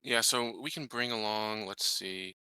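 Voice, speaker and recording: Very bright, forward-voiced male game player. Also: on the low side, measured pace, clear, resonant tone, no room sound, poor audio. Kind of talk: casual planning chat during a game.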